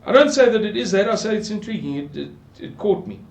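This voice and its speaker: An older South African man with a South African English accent, slowly musing through a Bible passage and repeating words for emphasis. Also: high-pitched, big pitch swings, gravelly. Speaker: Bible teacher. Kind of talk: unhurried scripture commentary. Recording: echoey room.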